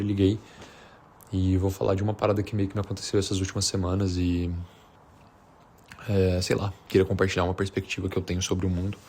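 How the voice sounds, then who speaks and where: A Brazilian man with a fairly low voice talks casually, as a vlogger would. He speaks informally and off the cuff, with slang and a hesitant, rambling flow. Some background noise and ambience sit behind him. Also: a little mellow. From Minas Gerais, Brazil. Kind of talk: unscripted casual chat to camera.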